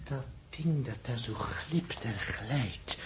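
An actor playing a brusque, secretive, stubborn spaceship technician in a 1960s radio drama, defensive and halting when pressed. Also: male voice, mid-range voice, lively intonation, slight background noise. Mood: intent, fascinated. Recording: muffled, indistinct old radio recording.

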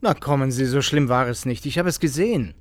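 An actor playing an overbearing, sarcastic husband in a German radio play, emphatic and insistent. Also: male voice, medium pitch, a little fast, close to an everyday voice. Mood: sardonic, insistent. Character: overbearing, sarcastic middle-class husband and host, easily offended.

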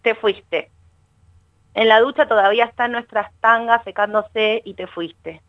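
A woman's voice: a little high, fairly even intonation, measured pace, no room sound. Argentine woman poet, dull lo-fi sound. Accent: Rioplatense Argentine. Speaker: guest poet. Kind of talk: poetry reading and casual chat.

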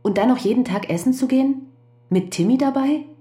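Female actor as prisoner's wife, loyal and tired, soft-toned, firm intimate manner, radio crime drama, roomy echo. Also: sits high, fairly quick, close to an everyday voice. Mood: defensive, affectionate. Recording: roomy, echoing sound.

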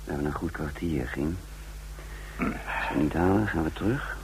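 A man's voice: rumbling low, lively intonation, normal speed, rough recording. A man playing the careful, commanding chief of a break-in team in a radio drama, terse and controlled. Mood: commanding, hushed. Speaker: narrator and lead character. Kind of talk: radio drama acting and narration. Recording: radio drama with faint background noise.

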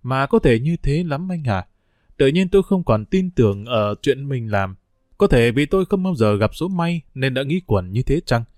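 A man's voice: mid-range voice, big pitch swings, normal speed, darkish tone, no room sound, clean studio sound, natural voice. A man reading the letter of a weary middle-aged prisoner in a melancholy, reflective voice.

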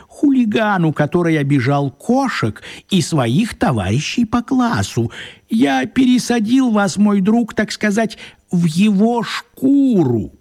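An actor playing a fussy, apologetic, absent-minded wizard in a children's radio play, hesitant, rambling and fussily polite. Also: male voice, high voice, a little mellow, exaggerated voice. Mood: explaining earnestly.

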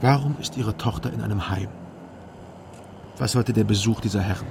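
An actor playing a wary, probing private detective in a radio drama, putting short sceptical questions. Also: male voice, on the low side, normal speed, creaky, noisy background. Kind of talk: German radio crime drama dialogue.

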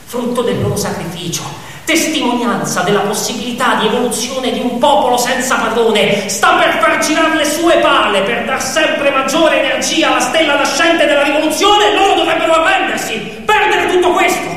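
A man's voice: very high voice, normal speed, pushed and bright, a little hiss or hum. A man narrating a radio play in a dramatic, declamatory and ironic theatrical style. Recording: echoey hall, distant mic, music behind.

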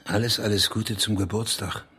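An actor in a German radio drama playing a brooding, self-destructive writer and gruff lover, with a husky voice. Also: male voice, lowish voice, fairly even intonation, normal speed, sharp tone, soft background music. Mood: grumbling, affectionate. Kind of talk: intimate German radio drama acting, part poetry.

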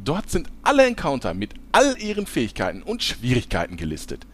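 A man's voice: mid-range voice, wildly swooping pitch, fairly quick, fairly bright tone, slight background noise. A man playing an eager, self-promoting, servile reporter in parody audio drama, with formal, obsequious commentary. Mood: officious.

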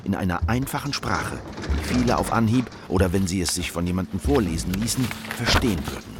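A brooding young revolutionary in a historical radio play. His voice sits low and he tells things in a measured, reflective manner, with music clearly behind him. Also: lively intonation. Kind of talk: audio drama narration and dialogue.

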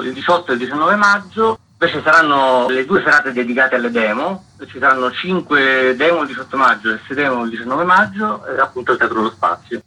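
A man's voice: mid-range voice, pushed and bright, husky, low-quality recording. A man speaking as an interview guest, giving spontaneous explanatory answers as a festival director.

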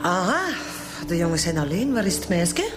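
A woman's voice: lowish voice, big pitch swings, moderate pace. A woman with a Flemish accent playing a familiar, precise forensic doctor in a radio drama. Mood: teasing, familiar. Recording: music behind the voice.

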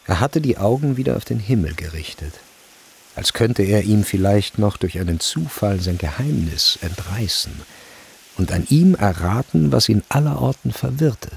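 A man narrating a literary radio play in a measured, solemn storyteller's manner. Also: on the low side, expressive, vocal fry, slightly rough, full character voice. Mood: grave. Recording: faint background hiss.

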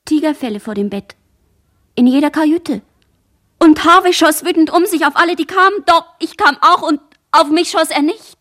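High-voiced, traumatised girl. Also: a bit bright. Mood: haunted remembering. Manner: fragmented, halting phrases. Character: dazed traumatised girl, sole survivor of a massacre at sea. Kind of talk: radio play monologue.

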